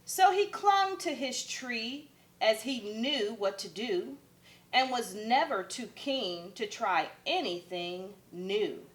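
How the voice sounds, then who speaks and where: A woman's voice: a little high, animated, takes it slowly. A woman, a first grade teacher, reading a picture book aloud expressively for children.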